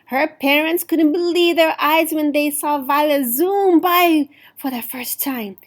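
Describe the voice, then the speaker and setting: A woman reading a picture book aloud to children in an expressive, deliberate storytelling manner. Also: sits high. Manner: deliberate, animated storytelling with emphasized words.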